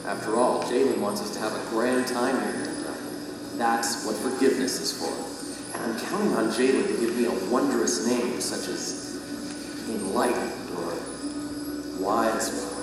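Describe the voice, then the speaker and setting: A man's voice: mid-range voice, steady tone, measured pace, lightly acted. An actor playing a naive, complacent, self-justifying man in an audio drama, with an exclamatory manner. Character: self-deceived, complacent man awaiting judgment, awed and naive. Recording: very echoey hall, distant, loud music.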